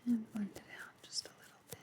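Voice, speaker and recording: A woman whispering softly and unhurriedly in an ASMR roleplay, gently instructing the listener as a makeup artist. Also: fast talker. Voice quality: breathy whisper.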